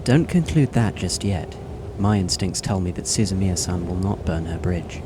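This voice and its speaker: A man playing a calm, polite teenage boy. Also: deep voice, background noise. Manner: formal, measured and analytical. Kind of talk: fan audio drama voice acting.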